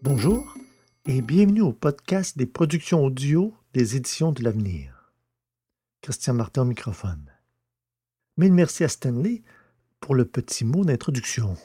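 A man hosting a podcast in a deliberate, scripted presenting style, speaking carefully into a close microphone. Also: medium pitch, very animated, dark tone, breathy edge, dry recording, faint music behind. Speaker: podcast host.

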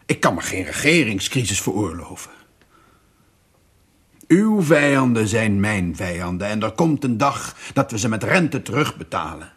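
A troubled monarch of old Russia in a radio play. He is solemn and halting, with a low register and a husky grain to the voice. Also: big pitch swings, a bit bright, exaggerated voice. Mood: regretful, grave. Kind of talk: radio drama acting.

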